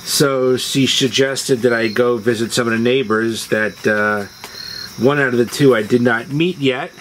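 Guy telling casual stories on his porch, mid pitch, some crickets behind him. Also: steady tone, measured pace, a bit bright, poor audio. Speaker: video host. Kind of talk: casual storytelling. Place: outdoors on a house porch at dusk. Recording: outdoor noise, chirping insects. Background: crickets and insects.

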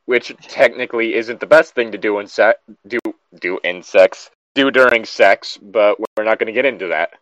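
A man's voice: on the low side, moderate pace, hardly any pauses, fairly bright tone, no room sound, dull audio. A man co-hosting a casual, joking commentary, exasperated and swearing freely, sometimes reading aloud. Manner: exasperated, profane. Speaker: co-host reading and mocking internet horror fiction.

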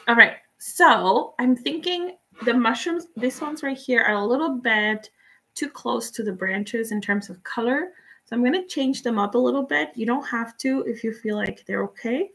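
A young woman from Guatemala whose first language is Spanish, chatting relaxed and giving step-by-step tips in a live painting tutorial. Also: on the high side, a little slow. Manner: relaxed, chatty, laughs often, answers viewers' comments. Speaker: painting instructor.

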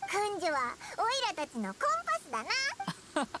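A tiny floating game fairy, with a very high squeaky child's voice that bounces around. The fairy is perky, bossy and boyish in speech, heard under loud game effects. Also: female voice, unhurried, heavy background noise, exaggerated voice. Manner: boyish rough speech, excitable. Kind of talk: anime game voice acting.

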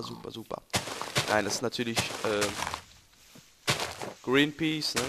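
A young man, a bit hoarse, rambling and joking to viewers while commentating his gameplay. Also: a little high, very noisy. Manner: joking, rambling, self-correcting, talks to viewers. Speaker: let's play gamer.

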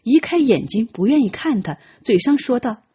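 Husky, expressive woman narrating. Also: a little high, moderate pace, lo-fi sound. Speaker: audiobook narrator. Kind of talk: dramatic scripted narration.

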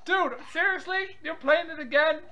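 A woman's voice: very high voice, normal speed, pushed and bright. A woman chatting casually on a phone call in an exclamatory, incredulous manner. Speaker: prank call participant.

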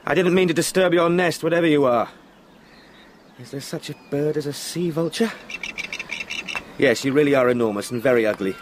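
An actor playing a polite, unnerved younger English solicitor in a radio play, speaking in a formal, dramatic way. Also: male voice, a little high, fairly quick, pauses often, a little hiss or hum, lightly acted. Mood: startled. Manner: well-mannered, formal, dramatic.

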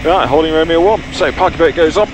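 A man's voice: fairly high pitch, fast, lo-fi sound. A man in his thirties on an aviation headset, giving clipped, matter-of-fact cockpit commentary and procedural radio readbacks as a pilot. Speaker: pilot and flight vlogger. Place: small private jet cockpit. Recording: loud aircraft cockpit noise. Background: loud aircraft engine and cabin noise.

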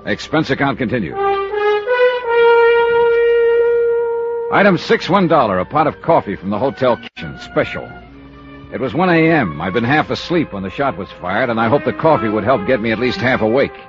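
An actor playing a wry, brisk American insurance investigator in a 1950s radio drama, with clipped hard-boiled delivery. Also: male voice, medium pitch, sing-song, close to an everyday voice. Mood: wry narration. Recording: muffled old radio recording with music.

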